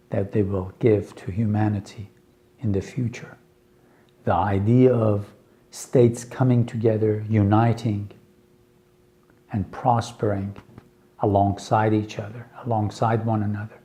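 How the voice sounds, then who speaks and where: Farsi-native man, low dark voice, very slow earnest teaching delivery brief casting note. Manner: slow, earnest, hopeful, with many pauses and fillers. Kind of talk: slow lecture for English learners.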